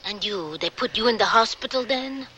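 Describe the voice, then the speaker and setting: A woman's voice: fairly high pitch, moderate pace, bright, forceful tone, slight background noise, rough recording. An actress in a radio drama playing an insistent woman who questions directly and persistently to reach a painful truth. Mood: probing.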